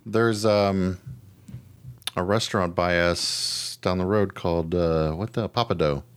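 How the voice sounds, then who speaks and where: A man's voice: low-pitched, unhurried, smooth, no echo. A man hosting a podcast, chatting casually about odd news in a slangy, joking, profane way. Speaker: podcast host. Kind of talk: casual news chat with a co-host.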